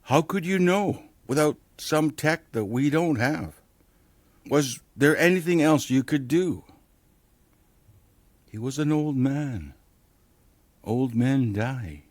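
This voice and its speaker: A man's voice: medium pitch, expressive, slow, long pauses, no room sound. A man playing a cocky, roguish survivor and raider in an audio drama, laconic and wry. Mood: sober, consoling. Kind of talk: naturalistic audio drama acting.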